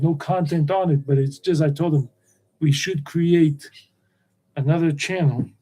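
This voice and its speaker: Casual live-stream host, man, middle-aged, mellow tone, wanders off topic and repeats details. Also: fairly high pitch, rough recording. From United States. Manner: meandering, repeats himself. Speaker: tour guide and channel host. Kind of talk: rambling live stream chat.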